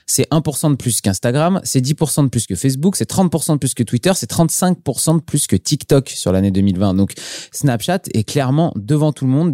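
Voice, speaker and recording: A man's voice: mid-range voice, dark tone, no echo, studio quality. A man co-hosting a marketing podcast, chatting casually, with many hesitation fillers as he lists statistics. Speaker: podcast co-host.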